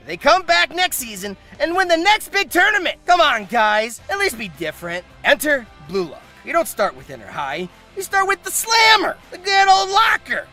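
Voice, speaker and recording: A man's voice: very high voice, bright, forceful tone. A man hosting a scripted comedic review, narrating theatrically with exaggerated emphasis. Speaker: host.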